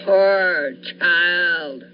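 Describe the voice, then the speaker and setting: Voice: medium pitch, very slow. A performer playing a resurrected dead wife in a radio thriller, a mindless woman who mechanically repeats words back. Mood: blank, parroting. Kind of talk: radio thriller play acting. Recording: dull lo-fi recording with faint noise.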